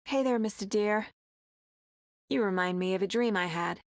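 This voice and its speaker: A woman's voice: medium pitch, normal speed, no echo, clean studio sound, lightly acted. An actress voicing a determined, intense woman FBI agent in dramatic video game dialogue. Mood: soft, tender.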